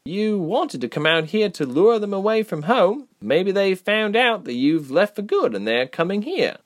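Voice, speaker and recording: A man's voice: sits high, big pitch swings, normal speed, pitched above the performer's own voice. A man voicing a bold, impulsive young woman adventurer in an audiobook, emphatic and insistent but loyal. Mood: earnest. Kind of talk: audiobook character voice.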